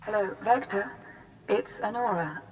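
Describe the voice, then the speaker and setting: A woman playing a hesitant, pleading former sweetheart, halting and earnest, in scripted game dialogue. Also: mid-range voice, lightly acted. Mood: nervous, tender. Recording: faintly noisy recorded message.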